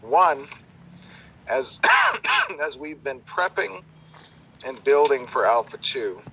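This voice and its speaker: A man presenting game design on a livestream, his voice raspy and tired, rambling with many fillers. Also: medium pitch, expressive, slow pace, sharp tone, slight background noise. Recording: dull sound with faint music.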